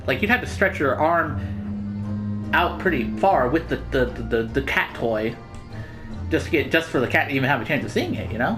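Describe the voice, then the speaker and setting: Female let's play host, casual and slangy, rambling delivery, animated intonation, soft music behind. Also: extremely low pitch, moderate pace. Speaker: gaming video host.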